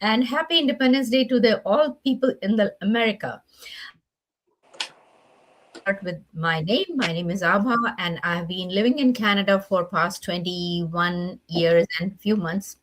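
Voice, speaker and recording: A woman's voice: medium pitch, measured pace, a bit bright, low-quality recording. A grandmother with an Indian accent introducing herself in a chatty live video chat. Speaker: guest, business partner.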